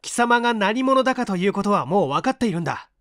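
A man's voice: high voice, big pitch swings, fast, a bit bright, no echo, clean studio sound, full character voice. Man acting an urgent, earnest young hero. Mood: fierce, accusing. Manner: urgent, polite, emphatic. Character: earnest, quick-witted young male assistant turned bold accuser. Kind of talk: dramatic audiobook character reading.

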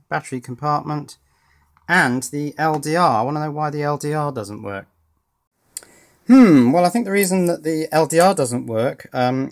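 A man talks through an electronics repair at his bench. His delivery is relaxed and wry, full of small pauses and "uh"s as he thinks aloud. He sounds like a hobbyist chatting to a camera. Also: medium pitch. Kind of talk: casual tinkering commentary. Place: indoors at a workbench.

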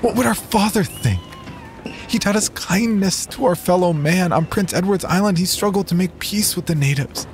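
A man's voice: high voice, normal speed, faint music behind, voice pushed up, natural voice. A man voicing a pious, half-blind settler who earnestly pleads for peace. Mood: pleading.

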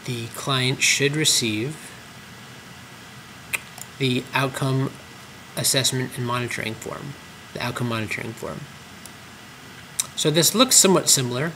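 A man's voice: mid-range voice, measured pace, long pauses, sharp tone, slight background noise, poor audio. A man walking through clinic tracking forms on screen, explaining step by step with frequent ums and uhs. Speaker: clinic trainer. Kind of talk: screen-recorded software tutorial.

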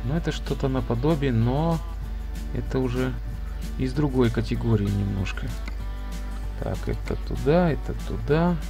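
Hoarse guy narrating his truck game run, chatty, game music loud behind him. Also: mid-range voice, moderate pace. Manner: chatty, thinking aloud while driving. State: hoarse, off voice today. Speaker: gameplay video creator. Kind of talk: casual let's-play commentary.

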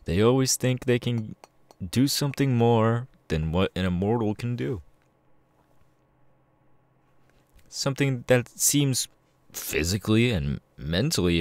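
Sadistic male killer for ASMR roleplay, acted by a man: low, gravelly, taunting, with wildly swinging pitch. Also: wildly swooping pitch, no room sound, full character voice. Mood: smug menace. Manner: slow, taunting, drawn-out with sudden swings. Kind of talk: ASMR villain roleplay, close and menacing.

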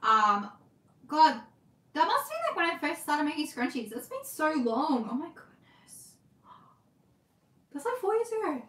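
A young Australian woman chatting casually on a livestream from her sewing room, reading comments, talking fast and laughing often. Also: high voice, very animated, fairly bright tone, roomy sound. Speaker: livestream host, scrunchie maker. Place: home sewing room, indoors.

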